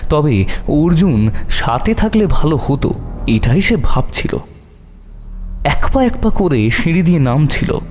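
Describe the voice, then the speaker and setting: Mellow, animated male horror storyteller. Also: a little high. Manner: suspenseful, with dramatic pauses. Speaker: narrator. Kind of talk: dramatic horror story narration. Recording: long hall reverb over music.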